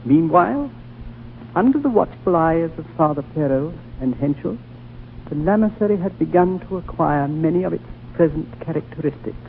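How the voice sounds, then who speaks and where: Ancient lama, tinny old radio. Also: male voice, a little high, expressive, gravelly. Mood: solemn. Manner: measured, solemn, deliberate storytelling. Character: ancient, wise, gentle male High Lama. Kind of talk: 1940s radio drama acting. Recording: tinny, noisy old 1940s radio recording.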